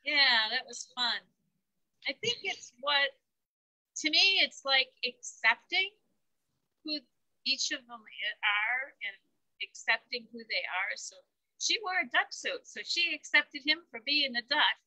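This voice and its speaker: Bright, thoughtful woman musing aloud. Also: sits high, lively intonation, unhurried, rough recording. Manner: thoughtful, searching for words, restarts. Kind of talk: casual chat about a picture book.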